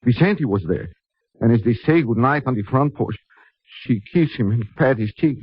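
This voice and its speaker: An actor playing a lovesick, desperate young man with a Spanish accent in a 1950s radio drama, halting and melodramatic. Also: mid-range voice, expressive, breathy edge. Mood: anguished confession. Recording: muffled, roomy old radio broadcast.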